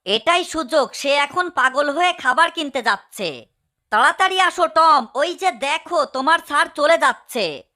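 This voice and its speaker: A woman voicing a small, scheming, excitable mouse in a cartoon dub. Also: high voice, moderate pace. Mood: scheming, eager. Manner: eager, urging, exclaiming.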